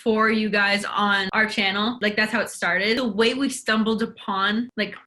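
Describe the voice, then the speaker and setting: A woman's voice: mid-range voice, steady tone, a little slow, pushed and bright. A woman in her early twenties co-hosting a casual Q&A video, giggly and full of "like". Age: early 20s. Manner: giggly, heavy use of "like". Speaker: YouTube co-host. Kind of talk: casual Q&A chat.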